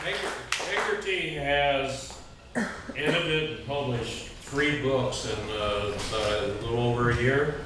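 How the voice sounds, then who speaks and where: A man introducing a reader at a live event, informal and halting, with frequent hesitations. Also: mid-range voice, far from the mic. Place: indoor reading event. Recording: echoey room from afar, noisy.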